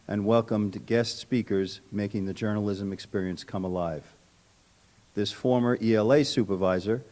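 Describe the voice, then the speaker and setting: A man with a fairly low voice speaks as a school administrator. He delivers a prepared tribute at a public meeting, slipping in informal asides. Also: darkish tone.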